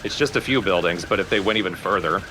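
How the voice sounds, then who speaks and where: Low-voiced man doing casual game commentary. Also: fast talker, background noise. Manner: explanatory, informal. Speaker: let's play commentator. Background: game music.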